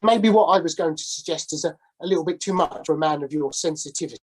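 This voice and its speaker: A woman's voice: low voice, fast talker, a little mellow, slightly rough. A woman voicing a shabby, down-on-his-luck male ex-sailor who coaxes and wheedles. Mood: sly.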